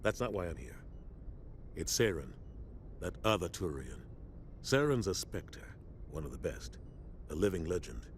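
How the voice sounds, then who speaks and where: A man playing a grave, loyal middle-aged military starship captain in a sci-fi video game, speaking with measured, earnest authority. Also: deep voice, a little hiss or hum. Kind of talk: cinematic sci-fi game dialogue.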